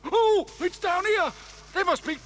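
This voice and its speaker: High-voiced jittery henchman. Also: measured pace, a bit bright, slight background noise. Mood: alarmed. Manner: exclaiming, jittery. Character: excitable male villain's henchman.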